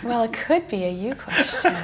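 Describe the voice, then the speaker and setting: A woman in her twenties talking calmly and reflectively in a candid group conversation. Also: fairly high pitch, unhurried, crowd noise, rough recording. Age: 20s. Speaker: participant.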